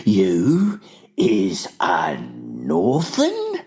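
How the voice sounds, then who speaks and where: A performer voicing a gentle, emotional giant in a children's audiobook, with muddled childlike grammar and exclamations. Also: sits low, huge pitch swings, extremely slow, slightly rough, heavily acted. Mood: curious, concerned.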